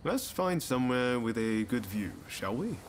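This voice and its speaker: An actor playing an upbeat, idealistic young ronin in a video game cutscene, casual, earnest and encouraging. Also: male voice, medium pitch, sing-song, moderate pace, slight background noise, natural voice. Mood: reflective, resolute. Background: game music.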